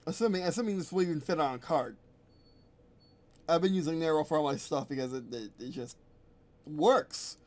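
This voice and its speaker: A man's voice: on the high side, normal speed, pauses often, darkish tone. A man casually thinking aloud at his computer as he reworks his game rules, rambling and correcting himself as he goes. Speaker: game designer streaming his work.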